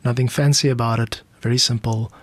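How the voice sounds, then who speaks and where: South african guy hosting a podcast, explaining stuff in a measured way, medium pitch, really dry recording. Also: fairly even intonation, dry recording. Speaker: podcast host, music composer and producer.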